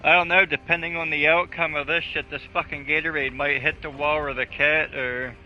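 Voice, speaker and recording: A man's voice: a little high, little pitch movement, a little fast. A man streaming a video game with a friend, talking casually with lots of swearing and jokes. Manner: laid-back, profane, joking. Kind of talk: casual livestream chat. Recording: slightly dull audio with game music.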